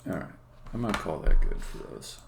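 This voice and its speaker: A man live-streaming game development, thinking aloud in a casual, halting way as he works, often muttering to himself. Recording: faint noise, keyboard typing and clicks. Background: computer keyboard typing and mouse clicks.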